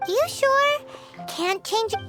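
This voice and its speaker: A woman voicing a tiny childlike fairy companion in a video game, cheeky, blunt and excitable. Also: very high voice, takes it slowly, faint music behind, heavily acted. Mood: cheeky, teasing. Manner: talks about herself in the third person, exclamatory. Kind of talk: animated video game character acting.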